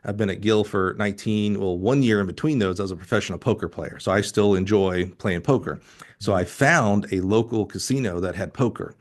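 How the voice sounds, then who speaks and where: A middle-aged man from Alabama hosting a casual interview, talking in an effusive, halting way and often restarting his thoughts. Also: lowish voice. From Alabama, United States. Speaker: podcast host.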